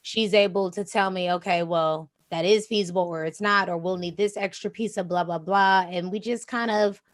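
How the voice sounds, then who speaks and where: Relaxed woman with a slightly raspy voice. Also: medium pitch, moderate pace. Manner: relaxed, flowing, says "you know what I'm saying" often. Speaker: DJ and Twitch streamer, guest. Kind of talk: casual interview chat.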